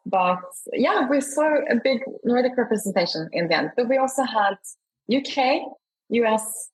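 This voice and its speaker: A woman's voice: fairly high pitch, slightly rough, poor audio. A woman speaking enthusiastically as a guest in a conversational interview. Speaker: award founder, interview guest.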